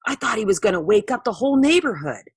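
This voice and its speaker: A woman voicing a young boy earnestly telling a story to friends in a children's storybook, with exaggerated, animated delivery. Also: fairly high pitch, quick pace, breathy edge, dry recording, clean studio sound, pitched above the performer's own voice, full character voice. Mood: earnest, puzzled.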